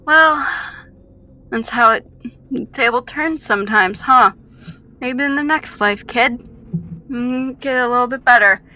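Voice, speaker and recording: A woman's voice: on the high side, measured pace, long pauses, pushed and bright, pitched above the performer's own voice, low-quality recording. A woman voicing a cruel female scientist villain in a tabletop game, condescending and mock-sweet, drawing out her taunts.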